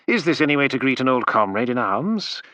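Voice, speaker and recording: A man plays a smooth, ironic imperial agent with a dry, deadpan wit. The tone is bright and the pitch moves freely. It is recorded close and dry. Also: a little fast, no echo. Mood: wry.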